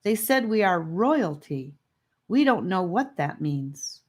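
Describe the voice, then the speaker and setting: A woman's voice: on the low side, big pitch swings, slow, slightly rough, heavily acted. A woman voicing a proud, impatient young girl who speaks melodramatically in an audiobook. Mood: indignant, questioning.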